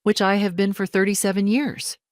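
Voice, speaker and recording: A woman voicing a composed, firm older mother in an audio story, measured and dry in manner. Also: medium pitch, flat delivery, no echo, pitched above the performer's own voice, natural voice. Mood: calm, firm.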